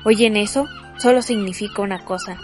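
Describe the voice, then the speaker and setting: A woman's voice: medium pitch, huge pitch swings, moderate pace, soft background music. A woman playing a dutiful male Chinese explorer leading a fleet in a radio drama, firm and earnest. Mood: firm, reassuring.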